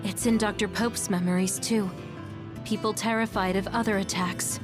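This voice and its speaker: A woman's voice: mid-range voice, fairly even intonation, moderate pace, close to an everyday voice. A woman playing a practical, level-headed medic in an English sci-fi anime game dub. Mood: matter-of-fact. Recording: music behind the voice.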